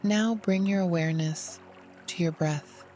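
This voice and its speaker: A meditation guide giving scripted, gentle, soothing instruction in an unhurried way. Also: medium pitch, soft background music.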